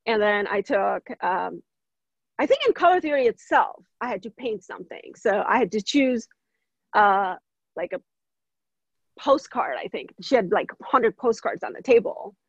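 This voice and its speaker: A woman from India in her thirties talks casually as an interview guest, lively and quick to laugh. Her voice has a gravelly, husky edge, and the recording is dry and a little dull. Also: mid-range voice, dry recording. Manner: animated, laughs often, many fillers.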